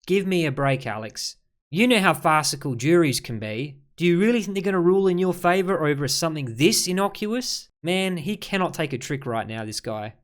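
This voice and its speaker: A man's voice: fairly high pitch, expressive, brisk. A man ad-libbing mocking reaction commentary as host, sarcastic and exasperated. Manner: sarcastic, exasperated, addressing the person he critiques.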